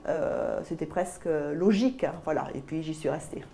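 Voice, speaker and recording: A middle-aged woman with a southern French accent answering interview questions about her work, often pausing mid-phrase to pick her words. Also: low voice, expressive, gravelly, warm tone. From south of France (Albi). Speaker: guest, business lawyer.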